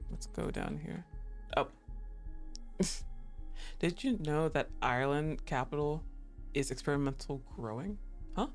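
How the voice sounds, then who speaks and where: A playful game streamer talks casually through a livestream, reacting out loud and chatting with viewers. The voice sits at a medium pitch but swoops around in a very animated way, with music playing behind it. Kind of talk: casual livestream gameplay commentary.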